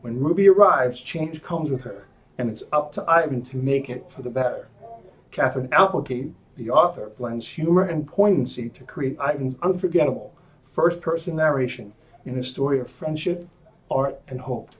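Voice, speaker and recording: A man's voice: medium pitch, normal speed, lo-fi sound. A man, a school superintendent, introducing a children's book and reading its summary aloud.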